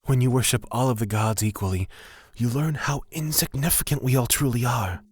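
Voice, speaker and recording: A man's voice: medium pitch, a little fast, darkish tone, slightly rough, dry recording, natural voice. A man playing a humble, laid-back elf wanderer in a fantasy audio drama, reflective and probing. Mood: philosophical.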